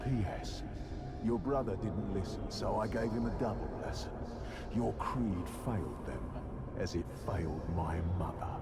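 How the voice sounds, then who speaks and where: An actor voicing a menacing, taunting male serial killer in a video game, with a theatrical, drawn-out delivery. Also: lowish voice, loud music behind the voice, natural voice. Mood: bitter taunting. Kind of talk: video game villain acting. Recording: loud noise behind the voice.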